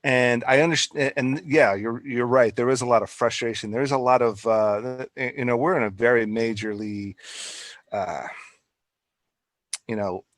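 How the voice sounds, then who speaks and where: A man hosts a casual podcast and thinks aloud, tripping over words as he goes. His voice is mid-pitched and recorded dry and close, with no room sound. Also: normal speed, dry recording. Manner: rambling, stumbling, animated. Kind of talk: casual interview talk.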